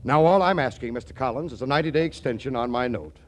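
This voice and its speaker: An actor playing a smooth-talking, phony success-school boss in a 1940s radio comedy, pompous and glib like a salesman. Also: medium pitch, fast, slight background noise, lightly acted. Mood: glib, placating.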